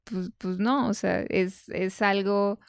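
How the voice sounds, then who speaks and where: A woman's voice: mid-range voice, fairly even intonation, slow, clean tone, dry recording, studio quality. A woman giving conversational explanations as an expert guest, emphatic and didactic, going point by point. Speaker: podcast guest, nutrition expert.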